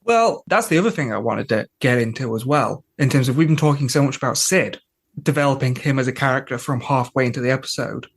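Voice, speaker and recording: Male podcast panelist, mid-pitched, casual and analytical, thinking through points with frequent asides. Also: expressive, fast, breathy edge. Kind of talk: casual episode discussion.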